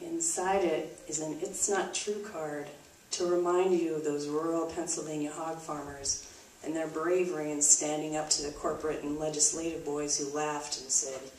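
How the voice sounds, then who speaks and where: A woman's voice: deep voice, little pitch movement, normal speed, a little mellow. A woman presenting a scripted live show in a theatrical, conversational storytelling manner. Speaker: puppet show performer and presenter. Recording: roomy, distant mic, faint noise.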